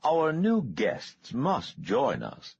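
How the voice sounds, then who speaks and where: Guy doing a slow oily billionaire, smooth and courtly, voice lifted higher than his own, bit husky. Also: huge pitch swings, dry recording, lo-fi sound, full character voice.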